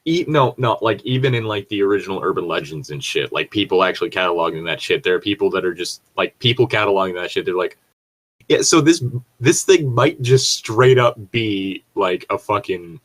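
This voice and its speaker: A man's voice: low-pitched, lively intonation, brisk, fairly bright tone. A man chatting casually in a rambling way, swearing freely. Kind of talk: casual chat.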